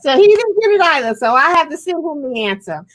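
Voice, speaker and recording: A woman's voice: sits high, big pitch swings, brisk, a bit bright. A woman from Alabama with an Alabama accent, hosting a casual chat and teasing her guest with lots of laughter. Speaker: host.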